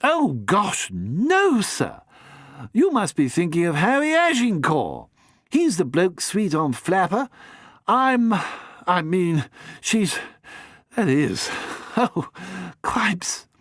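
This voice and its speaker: A man's voice: sits high, wildly swooping pitch, takes it slowly, long pauses, fairly bright tone, dry recording, pitched above the performer's own voice, full character voice. A chirpy, eager young toff , as a male reader does him, gushing and easily flustered into stammers. The voice is raised and bouncy, with a close, dry sound. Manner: breezy, gushing, stammers when caught out. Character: jolly young upper-class man, eager and flustered.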